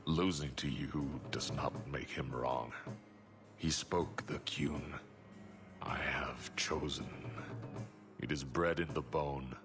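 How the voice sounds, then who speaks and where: An actor playing a solemn, devout giant captive mage in a fantasy video game, grave and halting in his speech. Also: extremely low pitch, fairly even intonation, full character voice. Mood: resigned. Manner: halting, grave, terse, deliberate. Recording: music playing behind the voice.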